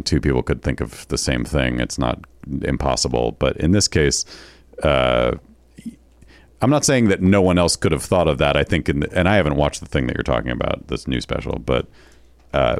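A man's voice: a bass voice, no room sound. A man chatting casually as a podcast co-host in a wry, informal way.

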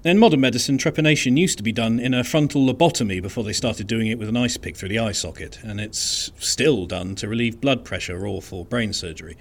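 A man giving scripted first-person narration of an eerie story in a measured, uneasy way. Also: fairly low pitch.

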